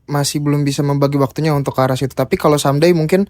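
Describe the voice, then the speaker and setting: A man in his twenties, a podcast guest, explaining his views in a measured, casual way with examples. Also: a little high, steady tone, a little mellow. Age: 20s. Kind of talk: casual chat. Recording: music playing behind.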